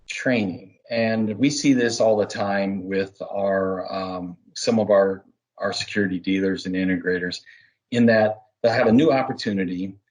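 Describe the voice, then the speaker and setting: A man giving explanatory interview answers as a business development manager, halting at times and laying out points one by one. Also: deep voice, fairly even intonation, rough recording.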